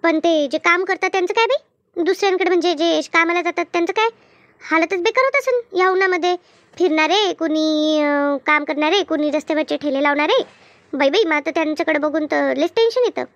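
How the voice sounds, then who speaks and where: Lady doing a super high squeaky cartoon village woman, gossipy and good-humoured, rural maharashtra accent. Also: fairly quick, highs cut off. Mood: sympathetic, reminiscing. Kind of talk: animated cartoon comedy dialogue.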